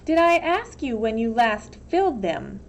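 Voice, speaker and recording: A woman reading a storybook voices a tall female camp warden, cold and commanding, with slow, menacingly polite speech. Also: a little high, big pitch swings, lo-fi sound. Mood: icy, patient.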